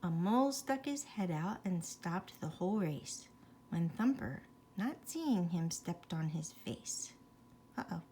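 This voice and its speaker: Slow-paced woman reading a bedtime story. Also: fairly low pitch, a little hiss or hum. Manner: expressive, chatty asides to young listeners. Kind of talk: read-aloud rhyming children's bedtime story with asides.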